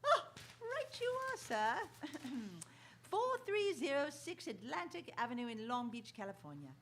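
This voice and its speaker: A woman's voice: high voice, sing-song, a little slow, fairly bright tone, a little hiss or hum, full character voice. A woman playing a helpful, chatty London housekeeper in a radio drama, polite and deferential. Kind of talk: theatrical radio drama acting.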